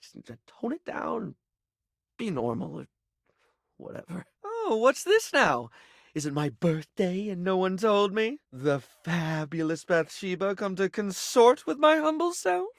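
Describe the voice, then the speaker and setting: A melodramatic, scatterbrained male dandy from a video game. His voice sits high for a man and swings extravagantly up and down. He is camp and affected, vain about beauty yet insecure, and recorded very dry. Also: big pitch swings, unhurried, gravelly, no room sound, exaggerated voice. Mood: dejected, self-critical, then theatrical, haughty. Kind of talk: expressive video game character acting.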